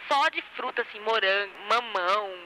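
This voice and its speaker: A woman's voice: mid-range voice, normal speed, pushed and bright, a little hiss or hum, rough recording. A young woman student answering interview questions casually and animatedly.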